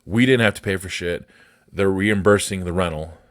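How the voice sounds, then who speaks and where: American male podcast host, low bassy voice, close dry sound, blunt and sweary unscripted rant. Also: normal speed, no room sound. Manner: blunt, profane, indignant.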